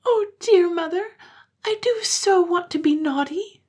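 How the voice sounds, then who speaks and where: A woman voicing two tearful little sisters who plead in high, sobbing voices. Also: expressive, measured pace, a little mellow.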